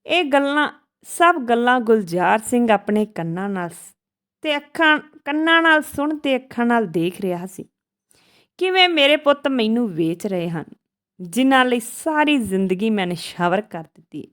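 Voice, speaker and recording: Female storyteller, slightly high pitch, emotional moral tale read in short broken phrases. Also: big pitch swings, normal speed, fairly bright tone.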